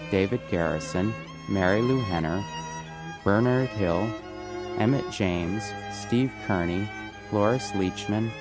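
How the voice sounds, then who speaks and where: A man giving a formal, scripted closing announcement in the manner of a credits reader. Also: sits low. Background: violin music.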